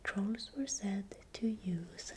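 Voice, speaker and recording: A woman narrating in ASMR style in a breathy, unvoiced whisper, speaking softly and deliberately. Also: medium pitch, slight background noise. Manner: slow, deliberate, repeats words softly. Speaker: ASMR creator narrating folklore.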